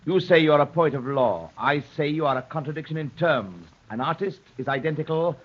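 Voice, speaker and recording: Declamatory anarchist poet, high-pitched. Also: male voice, lively intonation, fairly quick. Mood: challenging, disdainful. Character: red-haired male anarchist poet, earnest and provocative. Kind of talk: 1930s radio drama acting. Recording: muffled 1930s radio broadcast recording.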